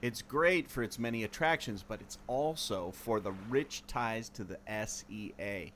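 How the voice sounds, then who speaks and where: A man's voice: fairly low pitch, a little slow, a bit bright, slight background noise. A man acting an earnest, knowledgeable explorer in a scripted audio adventure, with a theatrical, explanatory delivery. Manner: expository, theatrical. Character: earnest male explorer, knowledgeable enthusiast of secret lore.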